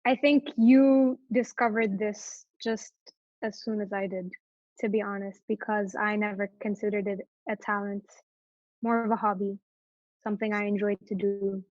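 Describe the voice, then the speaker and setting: A woman's voice: mid-range voice, flat delivery, moderate pace, a little mellow, no echo, lo-fi sound. A young woman from Morocco, a guest talking casually in an interview and hesitating often with fillers and restarts. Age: young adult.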